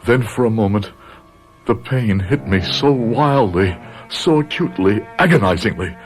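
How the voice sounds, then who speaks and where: An actor playing a grave, dying older professor, narrating a radio drama in solemn, measured, haunted phrases. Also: male voice, lowish voice, animated, soft background music, close to an everyday voice. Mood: pained, confessional.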